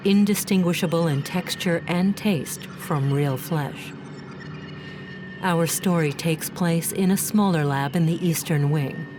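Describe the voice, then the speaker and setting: A composed female storyteller introducing an audio drama. Her voice is fairly low and the scripted narration runs over clear ambient noise.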